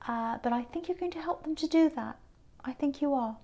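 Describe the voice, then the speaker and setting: Tired, croaky-voiced woman reading tarot. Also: high-pitched, brisk, lo-fi sound. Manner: gentle and reassuring, drops into whispers. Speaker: tarot reader.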